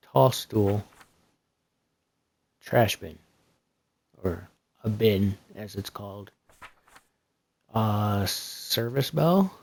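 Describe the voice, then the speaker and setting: A soft-spoken man streaming a building game, talking in a casual, rambling way with lots of fillers as he walks through his build. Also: on the low side, creaky.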